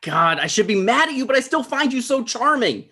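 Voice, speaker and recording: Needy, animated male show creator acted out. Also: extremely high pitch, a little fast, exaggerated voice. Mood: pleading, charmed. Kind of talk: comedy script table read.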